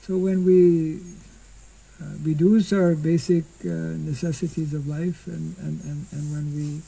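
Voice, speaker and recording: An older monk giving an informal scripture class outdoors into a stand mic, speaking slowly and haltingly and clearing his throat often. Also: a little high, level tone, dark tone, low-quality recording. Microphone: stand mic in front.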